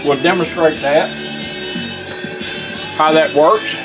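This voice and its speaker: A man's voice: a little high, steady tone, slow pace. An American man giving a casual, plainspoken, step-by-step hands-on demonstration of a product. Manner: plainspoken, folksy step-by-step instruction. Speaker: product demonstrator for a radio restoration shop. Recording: music playing behind the voice. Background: guitar music from a radio.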